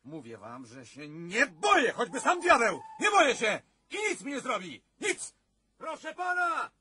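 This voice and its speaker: A man's voice: extremely high pitch, huge pitch swings, a little slow, sharp tone, heavily acted. An actor playing an impulsive, stubbornly brave young man in love in a radio drama, urgent and insistent. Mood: defiant. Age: young adult.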